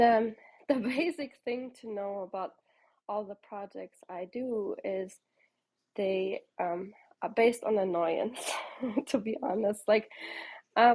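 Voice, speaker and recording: A woman's voice: mid-range voice, darkish tone, low-quality recording. A woman from Portugal in her thirties, a startup founder answering interview questions in a rambling way with many ums and restarts.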